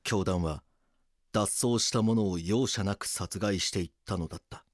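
A man reading scripted documentary drama narration in a grave, measured manner. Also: sits low, dry recording, clean studio sound.

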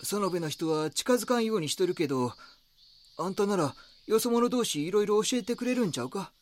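An actor playing a defensive local shopkeeper with a Kansai accent and a blunt, curt manner, in an audio drama. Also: male voice, high voice, animated, a little fast. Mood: wary, gossiping. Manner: blunt, curt, casual dialect. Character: gruff, defensive local shopkeeper, suspicious of outsiders.

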